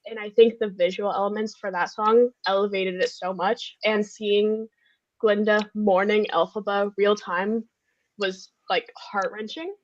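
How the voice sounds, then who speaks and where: A woman's voice: mid-range voice, fairly even intonation, unhurried. A woman chatting casually as a podcast panelist in a group review, on slightly muffled audio. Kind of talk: casual group chat, film and musical review.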